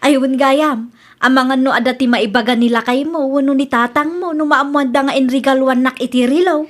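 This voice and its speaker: A woman's voice: high-pitched, steady tone, normal speed, natural voice. A woman playing a proud, fretful married woman in a comedy radio drama, with an Ilocano accent. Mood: worried.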